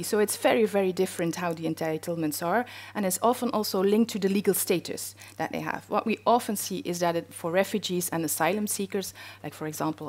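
A middle-aged woman speaking on a stage panel, explaining research with frequent hesitations. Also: on the low side. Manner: hesitant, many fillers. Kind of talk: panel discussion. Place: stage in a wood-panelled hall with audience.

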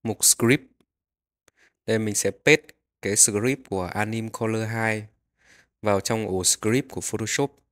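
Guy with a fairly low voice doing a screen tutorial, instructions one step at a time. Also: fairly even intonation, measured pace, long pauses. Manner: instructional, narrating each click as it happens. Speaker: software tutorial host.

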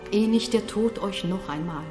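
A woman's voice: mid-range voice, moderate pace, natural voice. An actress playing a commanding, mysterious noblewoman in a 1960s German radio drama, with solemn declamatory delivery. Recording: roomy 1960s radio recording, music behind.

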